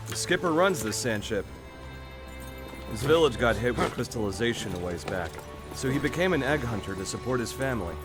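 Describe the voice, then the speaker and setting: An actor playing an easygoing, friendly desert guide in a video game, with a casual, folksy way of talking. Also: male voice, medium pitch, animated, fairly quick, music in the background, close to an everyday voice. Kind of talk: video game cutscene acting.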